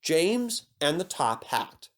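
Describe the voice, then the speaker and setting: A man narrates a children's story with very animated intonation. He reads from a script as a storyteller, his pitch moving widely through each sentence. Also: takes it slowly.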